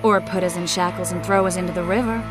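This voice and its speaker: An actress playing a shrewd, composed sorceress in a dark fantasy video game, cool and matter-of-fact. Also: female voice, lowish voice, steady tone, quick pace, lightly acted. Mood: wry, curious. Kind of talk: dramatic video game acting. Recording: music behind the voice.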